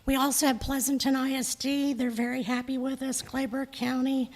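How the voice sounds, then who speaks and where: Hoarse saleswoman, middle-aged, friendly and chatty, flat high delivery at a desk mic. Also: normal speed, low-quality recording. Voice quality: husky. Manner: chatty, friendly, joking asides. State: hoarse, losing her voice. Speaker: insurance sales representative. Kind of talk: presentation at a public meeting. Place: county meeting room. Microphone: desk microphone.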